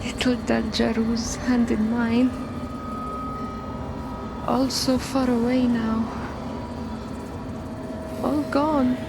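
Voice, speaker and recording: Wistful grieving woman, extremely slow, high creaky voice, halting, video game drama. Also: very noisy, heavily acted.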